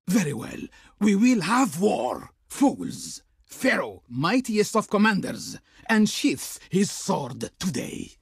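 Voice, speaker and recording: An actor voicing a haughty ancient Egyptian envoy of the Pharaoh in a video game, speaking in florid, pompous, ceremonious declamation. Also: male voice, high-pitched, gravelly, breathy edge, dry recording, studio quality, exaggerated voice. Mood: scornful, menacing. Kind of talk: theatrical scripted game diplomacy lines.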